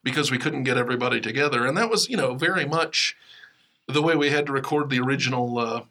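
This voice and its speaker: A man's voice: mid-range voice, fast, pushed and bright. A man hosting a podcast in a casual, behind-the-scenes chat style. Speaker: podcast host.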